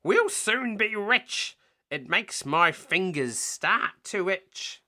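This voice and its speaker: A man voicing a greedy, gullible lanky robber from a storybook in a drawn-out, exaggerated way. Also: high voice, expressive, bright, forceful tone, pitched above the performer's own voice, heavily acted. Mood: greedy glee. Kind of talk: storybook character voice.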